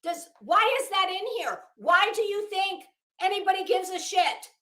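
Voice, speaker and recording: A woman's voice: extremely high pitch, moderate pace, sharp tone, roomy sound. A woman giving casual, rambling commentary on a document as a channel host, with a blunt, sarcastic, sweary manner. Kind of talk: casual spoken commentary while reading a legal document.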